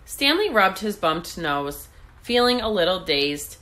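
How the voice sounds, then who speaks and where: A woman narrates a picture book for children, expressive and deliberate. Her voice is bright, with lively rises and falls and an unhurried pace. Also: fairly low pitch. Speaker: read-aloud host.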